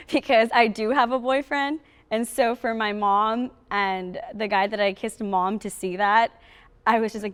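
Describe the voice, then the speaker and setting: Smooth, higher-voiced teenage girl. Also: big-room sound. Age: teens. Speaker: student actress. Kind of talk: casual interview.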